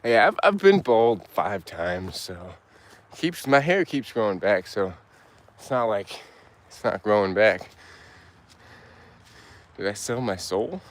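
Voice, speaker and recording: A young man from Turkey casually chatting with his live-stream audience while walking in the woods, relaxed and laid-back, answering comments aloud with halting restarts. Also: fairly low pitch. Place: outdoors in woods.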